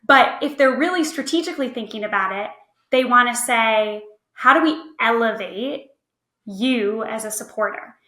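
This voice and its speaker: A woman speaks as an expert guest in a podcast conversation. Her voice sits fairly high and has a very bright edge. She explains step by step, checking in as she goes, in a noticeably roomy recording. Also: moderate pace. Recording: roomy, echoey voice track.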